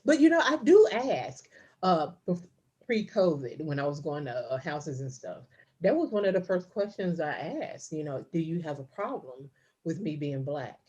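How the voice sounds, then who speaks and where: A woman's voice: low voice, big pitch swings, moderate pace, warm tone, slightly rough. A middle-aged woman co-hosting a podcast, telling stories casually with lots of fillers and restarts. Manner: storytelling, many fillers and restarts. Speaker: podcast co-host. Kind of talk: casual chat.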